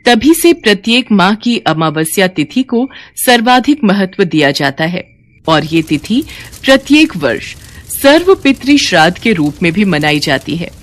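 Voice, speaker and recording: Animated woman narrating devotional tales. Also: mid-range voice, measured pace. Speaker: storyteller narrator. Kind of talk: scripted devotional story narration.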